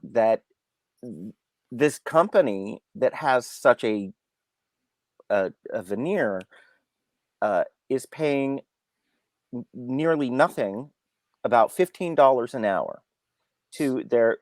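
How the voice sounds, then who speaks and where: A man reviewing a film in unscripted discussion, halting and repeating himself as he searches for words. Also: fairly low pitch, darkish tone, no room sound, clean studio sound.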